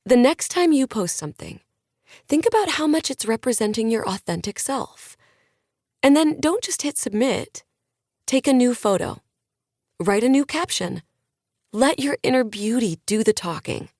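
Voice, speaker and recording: A bright, preachy influencer woman works a crowd with lifted, eager delivery, pitched well above the narrator's own voice, as a woman narrator puts her on. The sound is close and dry, like an audiobook booth. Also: lively intonation, normal speed, dry recording. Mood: inspirational. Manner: projecting, preachy and peppy. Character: upbeat woman influencer giving a motivational talk.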